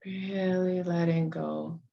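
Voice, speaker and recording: Slow, low-voiced woman guiding meditation. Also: steady tone, gravelly, muffled recording. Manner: unhurried, soothing instruction with long pauses. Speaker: meditation guide. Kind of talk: guided meditation.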